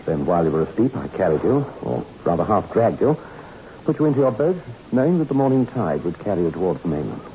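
A man's voice: sits low, very animated, fast talker, warm tone. An actor playing a middle-aged father who guards his troubled daughter, earnest and formal, in 1960s radio drama style. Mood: explaining, apologetic. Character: grieving, pleading father guarding his deranged daughter on an island. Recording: muffled, noisy old 1960s radio recording.

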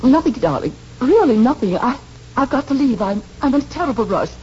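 A woman's voice: sits high, sing-song, a little fast, dark tone. An actress playing a genteel, anxious middle-aged mother in a 1940s radio drama, polite, hesitant and emotional. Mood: distressed, tender. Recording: muffled, noisy 1940s radio recording.

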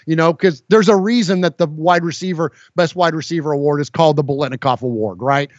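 A man's voice: fairly high pitch, fast, no room sound, muffled recording. A man in his forties, a guest on a podcast, speaking earnestly and from the heart with many stammered restarts. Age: 40s. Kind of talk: casual podcast chat.

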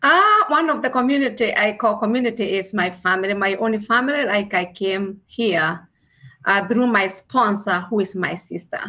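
Bright-voiced Kenyan woman, earnest. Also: medium pitch. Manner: earnest, speaks of faith, measured pauses. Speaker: registered nurse guest. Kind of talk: interview answers.

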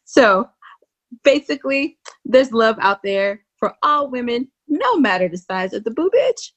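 Woman host, slightly high, asks blunt questions. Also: sing-song, measured pace, lo-fi sound. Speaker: podcast host. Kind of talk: casual podcast interview.

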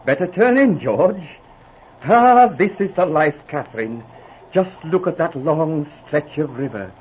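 A man's voice: a little high, lively intonation, unhurried. An actor playing a genial, hearty doctor and outdoorsman, in 1940s radio drama style. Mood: contented. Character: genial, nature-loving doctor, older husband. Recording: muffled, noisy old radio recording.

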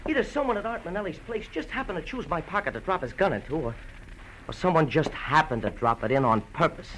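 Nervous, halting ex-convict. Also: male voice, on the high side, expressive, a bit bright, rough recording. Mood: bitter, agitated. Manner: halting, nervous, repeats words. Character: nervous, bitter framed gas-station man, just out of jail. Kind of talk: 1940s-50s radio drama acting. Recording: old radio broadcast, faint noise.

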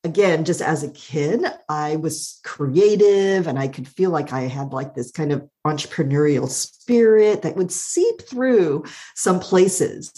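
Low, soothing woman's voice. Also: measured pace, hardly any pauses. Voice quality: soothing, calm voice. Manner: warm, thoughtful, laughs often, uses "like" a lot. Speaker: guest. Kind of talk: reflective personal storytelling.

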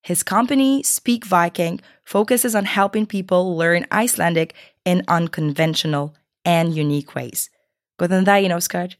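A woman's voice: fairly low pitch, normal speed, dry recording, studio quality. A woman hosting a podcast interview in a chatty, partly scripted style, a bit sick. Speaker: podcast host.

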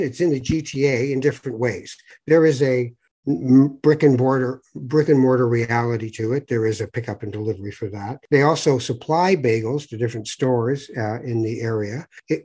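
A man's voice: medium pitch, mellow, breathy edge. A man from Toronto hosting a podcast interview, chatty, with fillers and restarts. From Toronto, Canada. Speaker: podcast host. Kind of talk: conversational interview.

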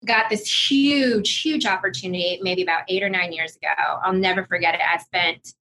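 Bright-voiced woman telling stories, noisy background. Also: on the low side, moderate pace. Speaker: podcast guest, financial services executive. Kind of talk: conversational interview storytelling.

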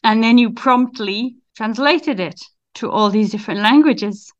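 A woman's voice: a little high, measured pace. Woman podcast host, slightly dull sound. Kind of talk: podcast presenting and interviewing.

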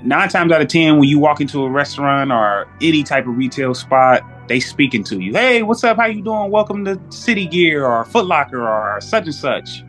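A man's voice: fairly high pitch, animated, normal speed, hardly any pauses, a bit bright, soft background music. A man with an American accent talking casually as a podcast guest, with plenty of slang and swearing.

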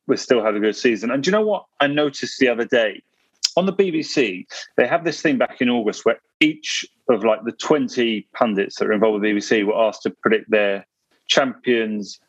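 A man telling a relaxed story about a football match on a podcast. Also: lowish voice, no room sound, dull audio. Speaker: podcast co-host. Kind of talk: casual football chat.

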